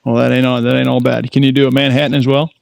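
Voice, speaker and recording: A man's voice: mid-range voice, level tone, fast talker, vocal fry, a little mellow, no echo, clean studio sound. A man hosting a podcast, introducing his guest with friendly, joking banter. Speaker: podcast host.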